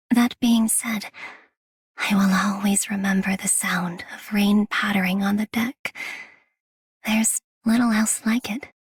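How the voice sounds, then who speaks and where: A woman voicing a solitary, gentle, melancholy wanderer in a video game, breathy, soft and reserved. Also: mid-range voice, fairly even intonation, slow pace, long pauses, no echo. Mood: quiet melancholy. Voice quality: breathy, whispery. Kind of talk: game character voice acting.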